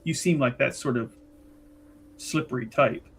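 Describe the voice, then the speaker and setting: A man's voice: medium pitch, measured pace, a little hiss or hum, lightly acted. A man voicing a sly, teasing female investigator with a politely probing, insinuating manner in a tabletop game.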